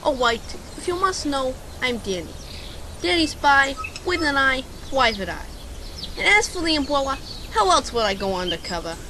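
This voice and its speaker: A self-important boy detective acted out by an amateur speaks in a high, swooping voice, narrating his case like a hard-boiled private eye. He is pompous and bumbling, on a hissy homemade radio drama recording. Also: big pitch swings, moderate pace. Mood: pompous questioning. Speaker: amateur voice actor.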